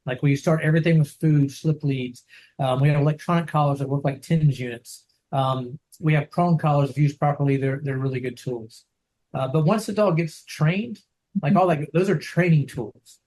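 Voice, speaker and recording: Soft-spoken male dog trainer on a video call, a bit higher than most men, loose and rambling. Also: moderate pace, poor audio. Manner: soft-spoken, rambling with many restarts and "right?". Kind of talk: casual interview over video call.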